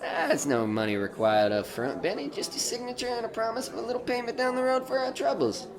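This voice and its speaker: A man's voice: extremely high pitch, big pitch swings, a little fast, a crowd in the background, exaggerated voice. A man playing a folksy, slyly cheerful salesman of devilish contracts in a retro horror audio drama, coaxing with colloquial patter. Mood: cheerful pitching. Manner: wheedling, colloquial patter with tacked-on questions.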